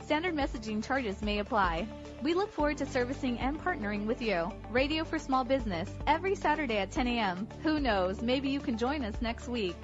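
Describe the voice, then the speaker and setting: A woman reading an upbeat scripted radio promo in a bright sales tone. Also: a little high, expressive, brisk, lo-fi sound. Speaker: promo announcer. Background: music bed.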